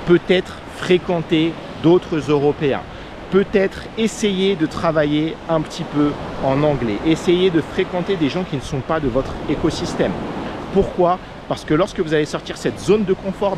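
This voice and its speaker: A man from France with a French accent, giving casual advice as a vlogger in an earnest way, with many fillers. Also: a little high. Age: under 40. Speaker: vlogger, expat coach. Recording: outdoor noise of waves and traffic.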